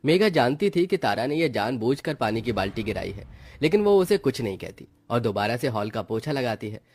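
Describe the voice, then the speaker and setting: Casting: male narrator for animated folk-style family tales, medium pitch, scripted storytelling delivery. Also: fairly quick.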